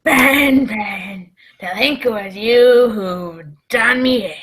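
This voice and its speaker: A woman's voice: on the high side, takes it slowly, fairly bright tone. A woman playing a sly old male pirate leader in a radio play, mock-courteous and folksy. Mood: wry, resigned.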